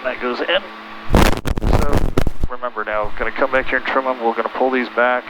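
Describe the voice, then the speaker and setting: A man's voice: medium pitch, steady tone, moderate pace. An American man, middle-aged, patiently coaching someone step by step as a flight instructor, in a casual way over a headset. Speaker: pilot instructing a student. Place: small twin-engine plane cockpit in flight. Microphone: aviation headset intercom. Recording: noisy cockpit headset, engine drone.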